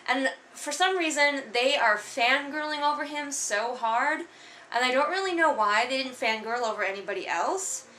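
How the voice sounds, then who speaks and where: A woman's voice: sits high, lively intonation, normal speed, bright, forceful tone, echoey room, slight background noise. A woman giving casual comedic book commentary in a sarcastic, exasperated, conversational way. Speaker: host of a book read-along.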